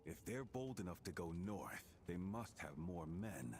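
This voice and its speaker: An actor playing a gruff, sardonic, bossy male companion in a martial-arts video game, curt and mocking. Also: low-pitched, sing-song, a little slow, background noise, full character voice. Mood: wary.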